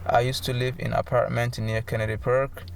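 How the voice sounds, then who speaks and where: A man's voice: medium pitch, level tone, moderate pace, creaky, slight background noise. A man in his twenties, from Somalia, earnestly telling his own story as an interviewee. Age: 20s. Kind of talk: personal testimony.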